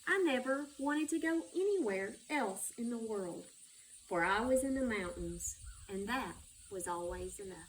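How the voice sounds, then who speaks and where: A woman's voice: a little high, lively intonation, unhurried. A woman reading a children's story aloud. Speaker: school superintendent reading a children's book. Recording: distant microphone with background noise.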